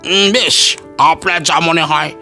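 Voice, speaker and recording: A man's voice: on the high side, slow, creaky, pushed and bright, heavily acted. A man playing a blustering but timid police officer in an audio story, hesitant, hemming and deferential. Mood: reluctant, yielding. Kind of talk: Bengali audio story acting. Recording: music behind the voice.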